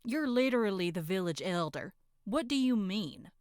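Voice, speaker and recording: A woman's voice: medium pitch, animated, moderate pace, dry recording, clean studio sound, lightly acted. A woman playing an exasperated, level-headed villager with a folksy, sarcastic manner in a horror roleplay audio drama.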